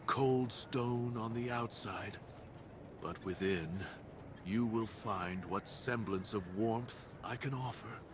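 A man playing a brooding, cursed dire wolf master in an ASMR roleplay, speaking in a solemn, deliberate, theatrical way with formal wording. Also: lowish voice, noisy background. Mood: solemn, reassuring. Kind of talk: ASMR roleplay, dramatic monologue.

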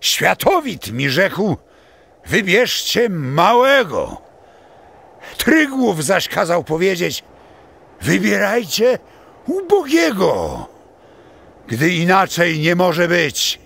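An actor playing a solemn old pagan seer in an audio drama, creaky and husky, declaiming haltingly. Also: male voice, sits high, huge pitch swings, a bit bright, heavily acted. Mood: solemn, proclaiming.

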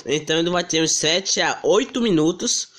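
A teenage boy, hoarse from a cold, giving slangy, giggly commentary on his gaming video at home. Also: a little high, normal speed, fairly bright tone. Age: teens. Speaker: gaming channel host. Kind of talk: casual gameplay commentary.

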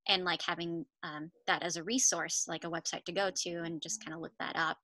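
A young woman guest speaking over a video call, thoughtful and hesitant with frequent pauses and fillers. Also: on the low side, little pitch movement, bright, forceful tone, no echo. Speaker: guest voice actor. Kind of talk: casual video-call interview chat.

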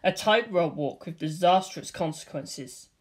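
A man's voice: sits high, animated, unhurried. A man voicing a sardonic female dinosaur partygoer who makes dry, cutting remarks.

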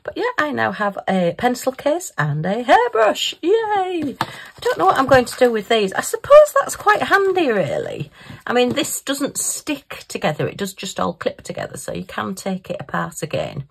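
A woman's voice: a little high, wildly swooping pitch, moderate pace, vocal fry, slightly rough. A woman chatting casually in a crafting vlog, giggly and self-mocking, recorded at her home craft table. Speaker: crafting vlogger.

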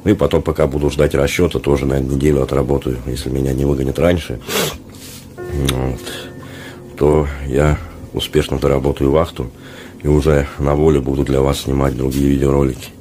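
A man with an extremely low, flat voice talks casually to his vlog viewers. He is sick with a sore throat and his voice is worn out, so he speaks in halting phrases. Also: a little hiss or hum. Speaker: vlogger. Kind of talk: casual vlog talk to camera. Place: small dormitory room, indoors. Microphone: handheld phone at arm's length.

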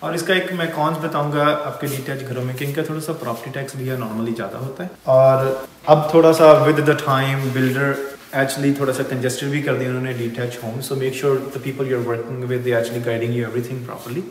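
A man's voice: medium pitch, fairly even intonation, normal speed. A man, around his thirties, explaining things informally as a property guide, switching between Hindi and English. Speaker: real estate agent guest. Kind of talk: informal explaining on a house tour. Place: large house interior, indoors. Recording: echoey empty house, some background noise.

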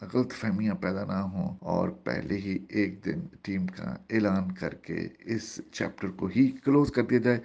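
Gravelly low-voiced sports newsman. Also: a little mellow, highs cut off. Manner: formal Urdu phrasing, measured delivery. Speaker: sports news presenter. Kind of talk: voice-over cricket news report.

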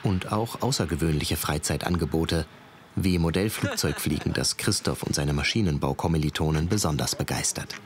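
A man's voice: very deep voice, moderate pace, noisy background. A man reading scripted narration for a television documentary. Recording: music behind the voice. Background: background music.

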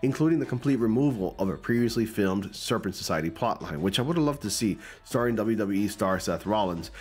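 Stuffy-nosed man reading movie news. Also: on the low side. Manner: conversational, reads news text aloud with asides. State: stuffy from a cold. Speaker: YouTube news commentator. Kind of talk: casual commentary reading an article. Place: small room at home, indoors. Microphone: large studio mic close in front.

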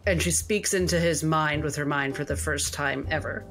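A woman's voice: low voice, moderate pace, a bit bright, soft background music. A woman narrating her character's actions as a player in a tabletop roleplaying game.

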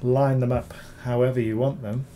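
A man, hoarse from recovering from illness, talking through a screen-recorded software tutorial, rambling with many ums and repeated words. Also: medium pitch, level tone, normal speed, a little hiss or hum. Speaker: bas-relief sculptor reviewing software.

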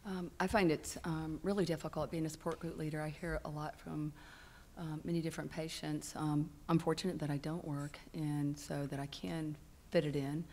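A woman's voice: low voice, little pitch movement, moderate pace, warm tone, slight background noise. A middle-aged woman speaking as a patient on a conference panel, earnest and hesitant with frequent ums, into a stand microphone. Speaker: patient panelist and support group leader. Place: conference hall stage panel.

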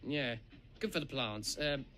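A man's voice: mid-range voice, unhurried, pushed and bright, a little hiss or hum. A man voicing a pompous, self-assured red steam engine playing detective in a cartoon. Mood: awkwardly casual.

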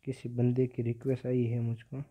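A man's voice: medium pitch, completely flat delivery, moderate pace, husky. A teenage male giving casual gameplay commentary as a gaming video creator. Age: teenager, about 15. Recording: dull, lo-fi phone-like audio.

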